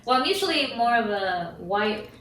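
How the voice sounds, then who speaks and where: A woman's voice: on the high side, big pitch swings, moderate pace. A woman co-hosting a podcast who asks curious questions in casual chat. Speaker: podcast co-host. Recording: roomy, distant mic, faint noise.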